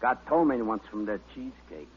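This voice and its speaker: An actor playing a dim-witted, grumbling police sergeant with a husky voice in old-time radio comic style. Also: male voice, on the low side, animated, normal speed. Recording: muffled vintage radio recording.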